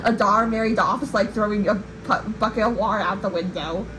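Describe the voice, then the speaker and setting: A woman's voice: mid-range voice, a little fast, background noise. A woman casually retelling a legend to a co-host in a rambling, informal and playful way. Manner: informal, rambling, playfully acting out sounds and voices. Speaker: podcast host and storyteller.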